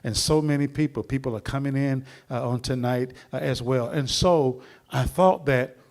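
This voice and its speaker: A middle-aged man hosting a church seminar, introducing the speaker in a rambling, preacherly way with many pauses. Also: medium pitch, a little mellow.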